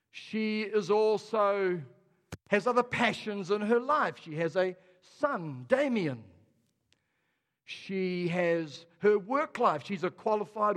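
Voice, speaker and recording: A man preaches to his congregation with a high, lifted voice, rousing them and calling out questions as he goes. The sound carries the long reverb of a large church hall. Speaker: pastor. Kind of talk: sermon.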